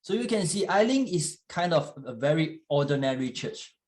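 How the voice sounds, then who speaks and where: Man preaching, english not his first language, slightly high voice, halting delivery, frequent fillers. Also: big pitch swings, darkish tone. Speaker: guest church leader. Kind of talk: sermon in English with live interpretation.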